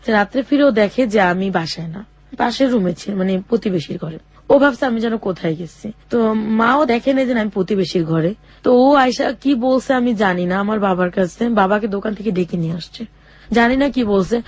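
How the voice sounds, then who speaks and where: Young woman recounting hardship, halting. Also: a little high, darkish tone, no room sound. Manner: halting, with many fillers and restarts. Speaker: guest telling her life story. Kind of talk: personal testimony in a radio interview.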